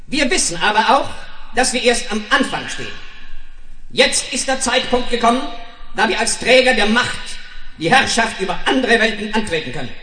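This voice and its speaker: An actor playing an older colony founder, declaiming a power-hungry speech in a 1970s radio play. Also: male voice, high-pitched, animated, normal speed, pushed and bright. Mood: grandiose, triumphant. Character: fat elder colony founder proclaiming lust for power. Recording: echoey hall speech.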